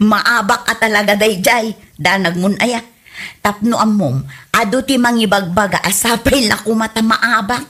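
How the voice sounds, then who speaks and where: A woman's voice: medium pitch, unhurried, fairly bright tone, close to an everyday voice. A woman acting a teasing, opinionated gossiping friend in a comedy radio drama.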